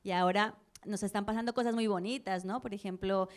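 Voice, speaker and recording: A woman's voice: mid-range voice, steady tone, brisk. A woman from Colombia, a community organizer speaking thoughtfully on a panel and often ending phrases with tag questions. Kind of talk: public panel discussion.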